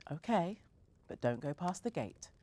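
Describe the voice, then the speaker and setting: A woman voicing a kind, playful young mother who speaks gently and teases. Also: lowish voice, very animated, a little slow, pitched above the performer's own voice. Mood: gentle. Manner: gentle, teasing.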